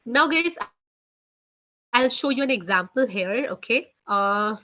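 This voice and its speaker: A woman's voice: lively intonation, unhurried, bright, forceful tone. A woman with an Indian English accent teaching a beginner French class online, often repeating "you know" and "okay" between phrases. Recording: muffled low-fidelity audio.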